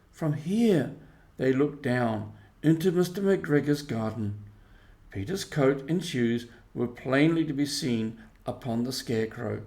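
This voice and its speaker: A man's voice: mid-range voice, darkish tone. A man narrating a scripted children's story as a storybook reader.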